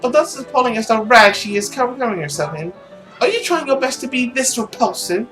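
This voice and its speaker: A man's voice: very high voice, expressive, fairly quick, sharp tone, faint music behind, voice pushed up, exaggerated voice. A man voicing a cruel aristocratic woman in a visual novel, with a disdainful sneer.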